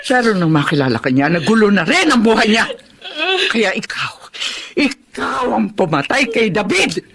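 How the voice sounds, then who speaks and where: A woman playing a grieving, sharp-tongued elderly mother in a Filipino radio drama, with an older voice, a Filipino accent and an accusing, melodramatic delivery. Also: medium pitch, sing-song, normal speed, crowd noise. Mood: bitter, accusing.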